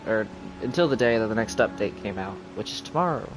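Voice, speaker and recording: A man's voice: fairly low pitch, expressive, fast, background noise. A man giving casual live commentary as he streams a video game.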